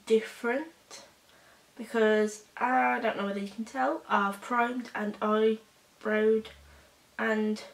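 A young woman with a hoarse voice from a cold, chatting casually through a makeup tutorial with halting, filler-heavy talk. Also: on the high side, reverberant, low-quality recording. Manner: rambling, halting, with many fillers. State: hoarse, recovering from a cold, coughing. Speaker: beauty vlogger. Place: small bedroom indoors.

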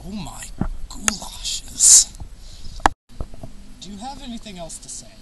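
A man's voice: high voice, sing-song, slow pace, exaggerated voice. A young man playing a theatrical 1950s TV news reporter in an amateur comedy sketch. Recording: very noisy amateur outdoor recording.

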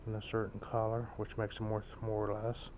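A low-pitched man rambles casually as he films a walk-through vlog inside a large store. His delivery is low-key and flat, as if thinking aloud, with clear background ambience around him. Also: fairly quick, muffled recording, background noise. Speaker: vlogger. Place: large indoor antique mall, walking around.

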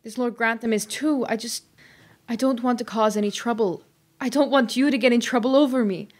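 A woman playing a gentle, principled elven market trader in an audio drama, with an earnest, hesitant manner. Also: fairly high pitch, fairly quick, lightly acted. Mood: apologetic, pleading.

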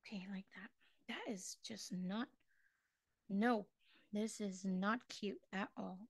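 A woman's voice: medium pitch, steady tone, slow pace, gravelly, slightly rough, no echo, clean studio sound. A middle-aged woman from the Philippines teaching a live online drawing class, chatting with viewers, laughing at her own drawings and singing bits of songs as she works. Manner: chatty and self-mocking, laughs often, sings snatches of songs while drawing. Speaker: online craft class instructor.

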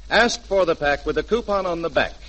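A man's voice: a little high, expressive, brisk, a bit bright, poor audio. A man delivering a polished, persuasive commercial as a 1940s radio announcer.

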